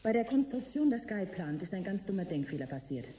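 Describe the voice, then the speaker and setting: An actress playing a blunt, determined scientist in a science fiction radio drama, speaking in a cool, pointed and articulate way. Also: female voice, mid-range voice, very animated, a little fast, mellow, lightly acted. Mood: accusing. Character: blunt, determined female scientist confronting corporate bosses.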